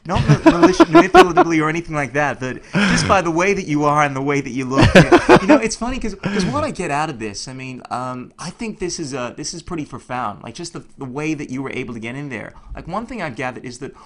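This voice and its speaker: A man's voice: on the high side, very animated, quick pace, few pauses, a crowd in the background. A man hosting a casual interview, talking informally and circling round his point. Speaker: podcast host, interviewer.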